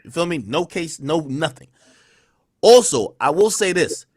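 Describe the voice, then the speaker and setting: A man rants on his livestream, defensive and rambling through heavy slang. His voice sits a little high for a man, and the mic sounds close and dry, with no room around it. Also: animated, a little slow, a little mellow, no room sound. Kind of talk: livestream rant.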